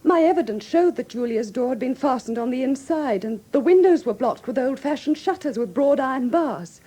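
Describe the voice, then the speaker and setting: A genteel, anxious young Englishwoman in a classic radio play. Her voice sits fairly high, with a very mellow tone and a husky, breathy edge. She speaks earnest Received Pronunciation with careful diction. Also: animated, a little fast. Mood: subdued, grave. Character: refined, anxious young woman recounting her sister's death. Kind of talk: classic British radio drama acting.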